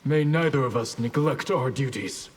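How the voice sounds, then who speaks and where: An actor playing a dutiful, earnest male ninja warrior in a video game, serious and formal, with a husky voice. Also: medium pitch, moderate pace, very noisy, lightly acted. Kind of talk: video game character dialogue.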